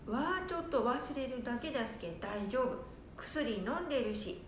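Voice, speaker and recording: A woman's voice: lively intonation, normal speed. A woman playing a forgetful, breezy old grandmother with a Nanbu dialect in an amateur radio drama. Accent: Nanbu dialect of northern Japan. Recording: very echoey room, faint rumbling noise.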